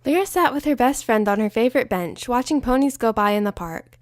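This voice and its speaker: A woman narrating a fanfiction story aloud in an expressive storytelling manner. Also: fairly high pitch, fairly quick, no room sound, studio quality. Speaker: fanfiction reader. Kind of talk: audiobook-style story narration.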